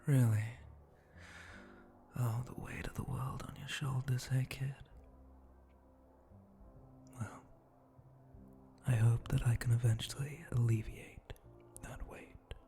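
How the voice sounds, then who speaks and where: A gravelly, mellow male monster bartender, acted by a man, flirting slowly and teasingly in an intimate ASMR roleplay. His voice is breathy, often slipping toward a whisper, with suggestive pauses and hums. Faint noise sits behind him. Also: mid-range voice, fairly even intonation. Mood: soothing, flirty. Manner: slow, languid, suggestive drawl with pauses and hums. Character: flirty, teasing spider-monster bartender, smooth-talking seducer.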